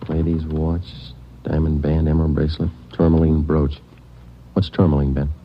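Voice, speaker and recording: Deadpan low-voiced American police detective, old radio. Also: male voice, normal speed. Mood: businesslike. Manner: deadpan, clipped, matter-of-fact. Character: laconic, businesslike male police detective sergeant. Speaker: narrator and lead actor. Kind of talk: 1940s radio police drama acting. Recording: dull, noisy 1940s radio recording.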